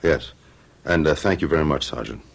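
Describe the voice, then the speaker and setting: Radio play husband: low voice, protective, careful, troubled but steadying. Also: male voice, a little fast, creaky, slight background noise. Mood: concerned. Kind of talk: old-fashioned radio drama acting.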